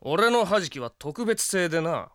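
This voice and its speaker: A man's voice: high-pitched, very animated, measured pace, heavy background noise. A man playing a cocky, sardonic gunslinger for hire in a Japanese audio drama, with a swaggering, taunting manner.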